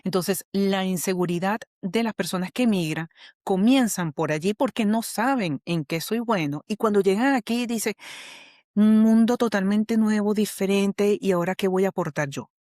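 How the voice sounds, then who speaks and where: A woman's voice: medium pitch, moderate pace, darkish tone, no room sound, clean studio sound. A woman with a Venezuelan accent, an HR specialist and coach, explaining a model as a podcast guest in a patient, teacherly way, often checking that the listener follows. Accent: Venezuelan Spanish.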